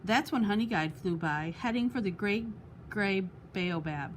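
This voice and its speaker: A woman's voice: medium pitch, lively intonation, measured pace, a little hiss or hum. A woman reading a children's picture book aloud expressively, as a storytime host. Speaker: storytime reader.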